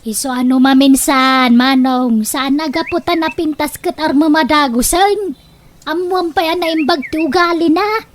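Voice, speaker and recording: Lady doing a whiny naive young village girl, really high voice, radio comedy, slight noise behind. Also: steady tone, normal speed, close to an everyday voice. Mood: coaxing. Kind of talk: comedy radio drama acting in Ilocano.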